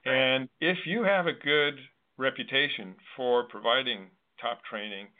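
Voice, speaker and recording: A man hosting a conversational interview, speaking in a measured, agreeable way. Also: mid-range voice. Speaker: discussion host. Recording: narrow tinny phone-like line.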